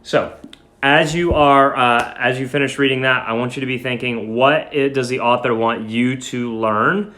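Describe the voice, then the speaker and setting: A man speaking as a teacher, reading and instructing in an expressive, emphatic way. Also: mid-range voice, normal speed. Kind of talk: dramatic story reading and lesson instruction. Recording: echoey room.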